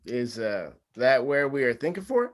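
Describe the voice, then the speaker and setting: Groom, male, sincere and hesitant, animated intonation, read by an actor at a table read. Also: mid-range voice, normal speed, lightly acted. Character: hesitant, agreeable groom, quietly unsure.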